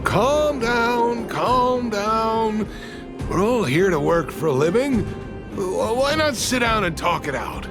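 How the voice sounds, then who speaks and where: A man's voice: high-pitched, expressive, slow pace, music in the background, exaggerated voice. An actor playing a weary, kindly miner and protective father in a video game, with a hesitant, placating manner. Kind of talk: animated video game voice acting.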